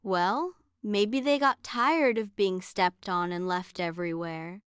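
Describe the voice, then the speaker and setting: A woman playing a matter-of-fact mother gently reasoning with her child in a children's bedtime story. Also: medium pitch, slow, clear, resonant tone, natural voice. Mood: gently chiding.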